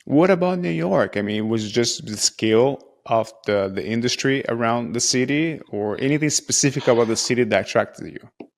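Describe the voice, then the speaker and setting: A man's voice: mid-range voice, expressive, normal speed, vocal fry. A man interviewing a guest, asking loose, rambling questions full of fillers.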